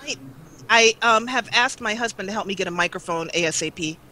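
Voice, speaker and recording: A woman's voice: medium pitch, a little fast, bright, forceful tone. A woman chatting casually as a guest on a gaming live stream, rambling and playful, over a basic microphone. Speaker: guest, gaming roleplay video creator. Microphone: low-grade microphone on a live call.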